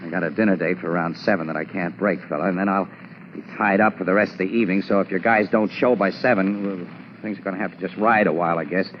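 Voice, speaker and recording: A muffled, faintly hissy 1940s radio broadcast carries an actor as a savvy male crime photographer. His voice is low, and he talks in casual, steady, wisecracking big-city slang. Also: fast talker, natural voice. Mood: reassuring, thoughtful. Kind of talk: 1940s radio drama acting.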